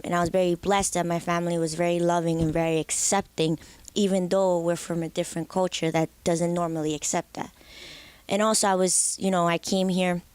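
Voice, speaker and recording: Casting: young woman from the Dominican Republic, lowish voice, candid, reflective interview guest. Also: a little mellow, smooth. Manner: candid, reflective, with frequent restarts. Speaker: guest musician. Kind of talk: interview conversation.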